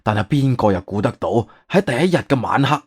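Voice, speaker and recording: 一個男人用粵語有聲小說嘅演法，扮演一個慌張、囉嗦、急於安撫人嘅博物館館長，講嘢猶豫多口頭禪。. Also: male voice, medium pitch, sing-song, quick pace, slightly rough. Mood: wordy, explaining. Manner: hesitant, filler-laden, long-winded. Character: flustered, wordy museum director, eager to placate. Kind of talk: Cantonese audiobook character acting.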